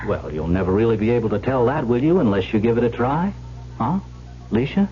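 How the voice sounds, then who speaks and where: A man's voice: mid-range voice, animated, a little fast, soft background music, close to an everyday voice. An actor playing a brash, carefree young heir who tells his own story in a radio drama, wry and breezy. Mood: eager, coaxing. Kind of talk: 1970s radio drama acting with first-person narration. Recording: dull old radio recording, faint hum.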